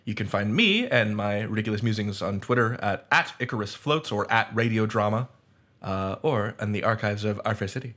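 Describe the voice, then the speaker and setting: A man's voice: on the low side, a little fast, fairly bright tone. A man hosting a podcast, speaking in a casual but composed way with a wry, sardonic edge. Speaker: podcast host. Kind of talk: panel talk and casual scripted host narration.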